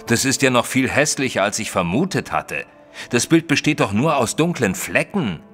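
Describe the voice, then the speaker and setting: A man playing a proper, opinionated Victorian gentleman who tells his story in a German audio drama, measured and expressive. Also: medium pitch, faint music behind, close to an everyday voice. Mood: indignant. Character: proper Victorian gentleman narrator, opinionated, easily shocked companion. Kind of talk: dramatised first-person audiobook narration in German.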